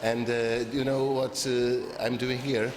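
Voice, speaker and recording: A man's voice: medium pitch, flat delivery, crowd noise. Man, casual intro, stop-start phrasing, hall reverb. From Portugal. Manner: informal, repeats words, slows to find phrasing. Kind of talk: short self-introduction.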